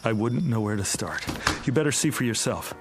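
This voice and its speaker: A man's voice: mid-range voice, lively intonation, fairly quick, music in the background, natural voice. An actor playing a frantic father in a radio drama, speaking with urgent emotion. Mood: uneasy. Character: frantic father whose small daughter has vanished.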